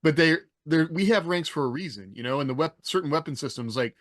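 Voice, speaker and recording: A man's voice: fairly high pitch, expressive, quick pace, dry recording, clean studio sound. A man talking casually on a podcast panel, explaining things in a roundabout, conversational way. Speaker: podcast panelist, former army officer. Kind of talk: casual discussion.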